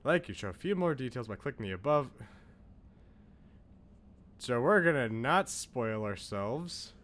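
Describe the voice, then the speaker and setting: A man's voice: medium pitch, lively intonation, unhurried, a bit bright. Man hosting a casual game livestream. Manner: chatty, talks to viewers, rambling asides. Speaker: streamer, video game host. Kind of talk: casual livestream chat with on-screen text read aloud.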